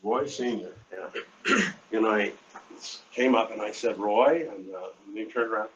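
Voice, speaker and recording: An older man with a deep voice telling rambling, halting anecdotes in a casual interview, often quoting conversations. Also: fairly bright tone. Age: 60s or older. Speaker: artist being interviewed. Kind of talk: casual storytelling at a live event. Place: hotel event space. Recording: muffled lo-fi live stream, noisy room.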